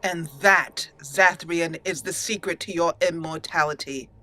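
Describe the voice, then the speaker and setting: A woman hosting a let's play, narrating in a theatrical, deliberate roleplay style with dramatic pauses. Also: on the low side, fairly even intonation, pushed and bright. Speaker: gaming channel host.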